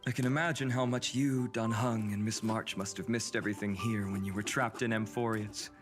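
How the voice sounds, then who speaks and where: A man's voice: fairly low pitch, steady tone, a little fast, natural voice. An actor playing an earnest, wistful young male hero in video game dialogue, speaking in a reassuring way. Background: game background music.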